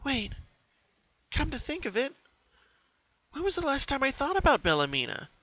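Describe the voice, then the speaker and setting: A man's voice: very high-pitched, wildly swooping pitch, normal speed, pushed and bright, no room sound, muffled recording, voice pushed up, heavily acted. A man voicing an anxious, earnest young female cartoon pony in an emotional, pleading manner. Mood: puzzled.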